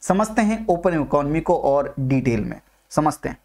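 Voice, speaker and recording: A man's voice: fairly high pitch, lively intonation, normal speed, gravelly. Man teaching, repeating points and quizzing. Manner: repeats points and asks listeners questions; mixes English terms into talk. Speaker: economics teacher. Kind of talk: exam-prep video lecture.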